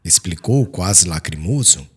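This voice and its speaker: A man reading an audiobook as dramatic literary narration, in a solemn, formal and expressive manner. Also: deep voice, a little slow, husky. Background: background music.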